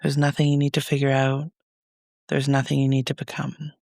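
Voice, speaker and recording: A man leading a guided meditation as a reflective monologue, speaking in a soothing, measured, gentle way with pauses. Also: mid-range voice, monotone, creaky, no echo, studio quality.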